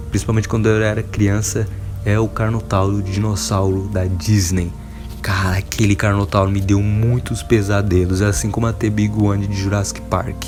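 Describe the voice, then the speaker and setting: A Brazilian man hosting a casual enthusiast video, talking in an emphatic, informal, wonderstruck way. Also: fairly low pitch. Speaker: video host.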